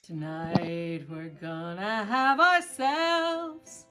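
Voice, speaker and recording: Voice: mid-range voice, fairly even intonation, very slow, bright, forceful tone, faint music behind. A performer playing an upbeat, showboating male beach-town mayor in an exuberant musical comedy number, half sung and half spoken.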